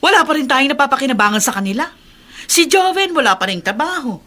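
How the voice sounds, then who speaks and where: An actress in a Filipino radio drama playing a nagging, impatient, resentful wife who complains and scolds. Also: female voice, high-pitched, lively intonation, quick pace, sharp tone. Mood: scolding, impatient.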